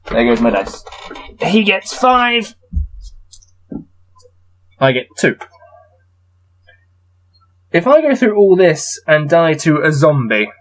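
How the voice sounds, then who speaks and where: A man reading a gamebook aloud and adding low-key, wry muttered commentary as he plays. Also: a bit bright. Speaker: solo gamebook player and reader.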